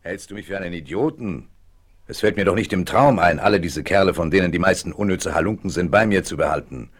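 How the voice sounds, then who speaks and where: A man's voice: sits low, fast. A man playing a ruthless, scheming gang boss in a German radio play, cynical and menacing. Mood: contemptuous. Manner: sneering, menacing. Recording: 1970s vinyl radio play.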